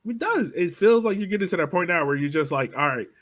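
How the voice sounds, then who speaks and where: A man with a somewhat high voice co-hosting a casual sports podcast. He talks in an easygoing way and laughs along with the others. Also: expressive, fast talker, fairly bright tone. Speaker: podcast co-host.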